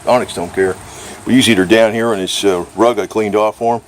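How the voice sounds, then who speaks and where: A man's voice: on the low side, steady tone, brisk, slight background noise. A man talking in an informal, rambling, mildly profane way, like a casual show-and-tell vlog about gear. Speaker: vlogger reviewing radio gear.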